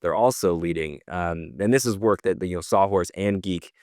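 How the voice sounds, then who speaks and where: Male podcast co-host, low voice, close dry sound, keen and explanatory, conversational delivery. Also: normal speed, darkish tone, dry recording. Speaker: podcast co-host presenting news. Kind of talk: casual news discussion.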